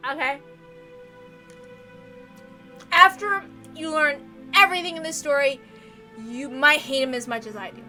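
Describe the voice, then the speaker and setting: A woman's voice: sits high, bright, forceful tone. A woman reading a visual novel aloud expressively, with casual, blunt and sweary commentary. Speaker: visual novel reader and narrator.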